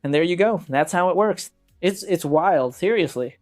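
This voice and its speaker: A man's voice: sits high, expressive, normal speed, darkish tone, soft background music. A man reviewing tech gadgets in a casual walkthrough, talking informally and directly to viewers.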